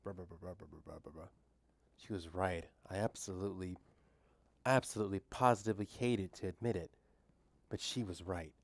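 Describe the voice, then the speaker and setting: Lowish-voiced casual man, dry sound. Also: fairly even intonation, fairly quick, creaky, no room sound. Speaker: streamer. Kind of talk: casual chat.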